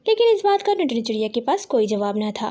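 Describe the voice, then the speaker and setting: A woman's voice: on the high side, big pitch swings, fast talker, dark tone. A woman narrating a children's story in a scripted storytelling style.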